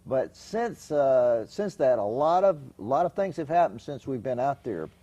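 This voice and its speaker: A man's voice: mid-range voice, sing-song, moderate pace, mellow. A man hosting a television talk show, introducing and interviewing a guest in a chatty, emphatic way. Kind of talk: studio interview. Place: television studio.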